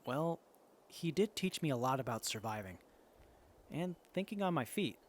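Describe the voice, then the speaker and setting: A man's voice: medium pitch, big pitch swings, moderate pace. A man playing an earnest, hesitant, kind-hearted wasteland survivor in an audio drama dialogue. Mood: conflicted, hesitant. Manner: hesitant, sincere, soft-hearted.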